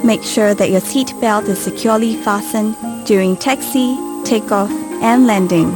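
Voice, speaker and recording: Woman doing safety video narration, clear calm instructions, music playing under her. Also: mid-range voice, normal speed. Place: studio voice-over. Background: music bed.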